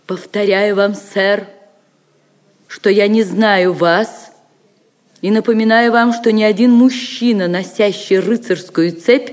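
A woman's voice: mid-range voice, steady tone, slow pace, darkish tone, lightly acted. A woman acting a proud, defiant captive noblewoman in an audio drama, formal, icy and scornful. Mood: icy dignity.

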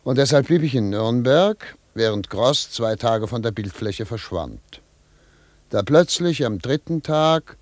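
A man's voice: medium pitch, animated, measured pace, a little mellow, no room sound, close to an everyday voice. A man playing a brusque, cynical investigator in a German radio drama, speaking with sardonic, clipped authority. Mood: reflective. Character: brusque, cynical male investigator, sceptical and commanding. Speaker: investigator and narrator.

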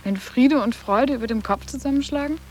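A woman's voice: high-pitched, brisk, darkish tone, faint music behind, close to an everyday voice. An actress playing a sarcastic, challenging teenage schoolgirl in a literary radio drama, matter-of-fact and pointed. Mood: cool, mocking.

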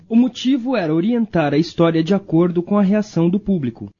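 A man's voice: high voice, lively intonation, brisk, warm tone, breathy edge, faint music behind. A Brazilian man reading scripted narration as a radio documentary narrator. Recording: dull, band-limited audio.

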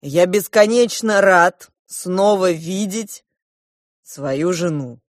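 A woman's voice: medium pitch, expressive, unhurried, dry recording, studio quality, close to an everyday voice. A woman voicing a boastful, imperious elder prince in an audiobook, with grand exclamatory delivery. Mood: grandiose.

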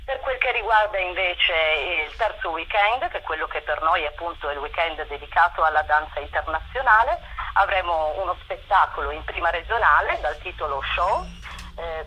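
A woman's voice: low voice, expressive, normal speed, pushed and bright, a little hiss or hum. A woman explaining a programme in a radio interview, wordy and full of filler phrases, over a phone line. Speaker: festival representative, interview guest. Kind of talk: promotional radio interview, running through a programme.

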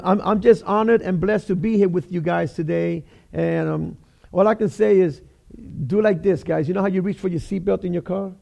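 A man's voice: sits high, vocal fry, a little mellow. A middle-aged man from the Bronx with a New York accent, preaching casually as a guest pastor, joking and restarting often. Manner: chatty, joking, many fillers and restarts. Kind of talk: casual sermon.

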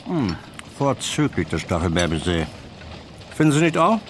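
A man's voice: lowish voice, very animated, normal speed, noisy background. A man playing a genial but probing detective chief in a radio drama, speaking with clipped authority. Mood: probing, authoritative. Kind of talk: German radio drama acting.

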